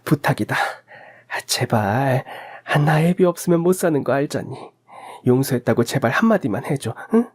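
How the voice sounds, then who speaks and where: Man acting a whining, pleading old mother-in-law, pitched up. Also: expressive, close to an everyday voice. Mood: desperate wheedling. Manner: whining, halting, wheedling. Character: old mother-in-law, self-pitying, pleading, selfish, clinging to son.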